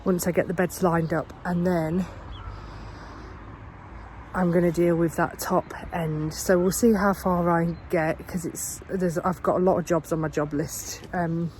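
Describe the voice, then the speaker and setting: A woman's voice: fairly low pitch, level tone, measured pace, a little mellow, poor audio. A woman in her thirties with a head cold, talking to camera outdoors on her allotment. She rambles in a friendly, unscripted way, full of ums and restarts. A faint hiss of outdoor noise sits behind her voice. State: has a cold. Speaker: gardening vlogger. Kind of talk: casual vlog chat.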